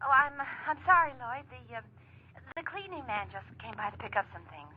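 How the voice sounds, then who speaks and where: A woman's voice: sits high, lively intonation, a little fast, slight background noise. Evasive woman on a tinny old phone. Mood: flustered, evasive. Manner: hesitant, evasive. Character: evasive nightclub singer girlfriend hiding something on the phone. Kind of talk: old-time radio acting. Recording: tinny vintage radio phone sound.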